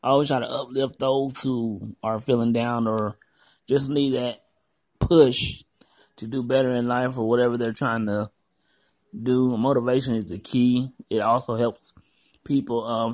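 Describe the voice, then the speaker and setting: A man earnestly talking about motivation in a casual chat, halting with fillers. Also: medium pitch, dry recording.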